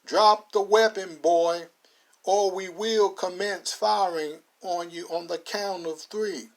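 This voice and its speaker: Voiced by a man, a stern, commanding sheriff who drags out every order slowly and heavily, with a voice sitting fairly high for a man. The tone stays hard and unhurried, each word leaned on. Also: steady tone. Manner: drawn-out barked orders. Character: stern slow-talking male sheriff, commanding.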